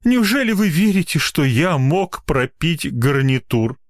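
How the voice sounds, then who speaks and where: A man's voice: high voice, sing-song, a little slow, pitched above the performer's own voice. A man voicing an old male patient in a comic story, whining, self-pitying and pleading in a tragic, tearful manner. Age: older.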